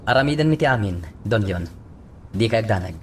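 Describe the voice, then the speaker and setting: An actor playing a dutiful, authoritative police chief in an Ilocano radio drama. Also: male voice, fairly low pitch, animated, a little fast, slight background noise, close to an everyday voice. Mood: reassuring.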